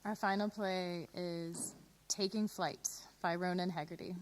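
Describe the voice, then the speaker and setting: A woman's voice: fairly low pitch, steady tone, a little slow, low-quality recording. Female presenter with faint background noise. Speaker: presenter introducing radio plays. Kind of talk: scripted introduction.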